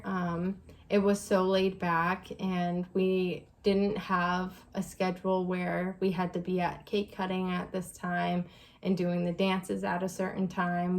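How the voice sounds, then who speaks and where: Young woman vlogger chatting casually, lowish voice, pretty flat intonation, relaxed storytime. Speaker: vlog co-host.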